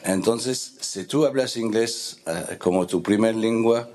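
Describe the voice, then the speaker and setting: Slow, halting man with a French accent. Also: on the low side, a little mellow, slight background noise. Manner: halting, searching for words with fillers. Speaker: board member speaking at a meeting. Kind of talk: meeting remarks. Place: meeting room.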